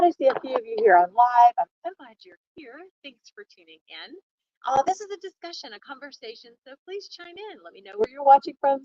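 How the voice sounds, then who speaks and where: A woman's voice: on the high side, fairly bright tone, dry recording, highs cut off. A woman hosting a live online coaching talk in an upbeat, conversational and emphatic way, speaking from indoors at a home. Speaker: networking coach and livestream host.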